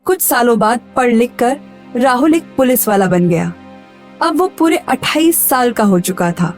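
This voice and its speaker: A woman's voice: fairly high pitch, animated, moderate pace, over music. A woman narrating a scripted moral story for a cartoon.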